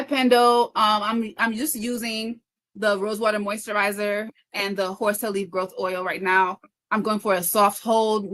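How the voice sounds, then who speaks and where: A woman with a medium-pitched voice hosts an informal livestream. She reads comments aloud and answers them conversationally, tripping over words now and then and restating phrases for emphasis. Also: a bit bright. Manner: talks fast, stumbling and repeating herself. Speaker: livestream host and content creator. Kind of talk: casual live chat reading viewer comments aloud.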